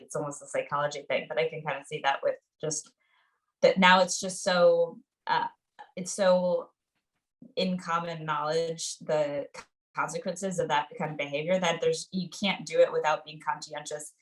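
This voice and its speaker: A woman's voice: low-pitched, steady tone, normal speed, far from the mic, rough recording. A woman hosting a podcast in casual literary discussion, speaking in an informal, effusive way. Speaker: podcast host interviewing a guest.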